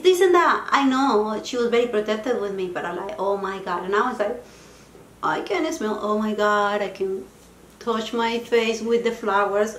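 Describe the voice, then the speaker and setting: A woman's voice: mid-range voice, a little hiss or hum. Chatty Spanish-accented woman in an echoey room. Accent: Latin American Spanish. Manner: chatty, playful, switches into Spanish words. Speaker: vlogger. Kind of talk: casual self-help talk to camera.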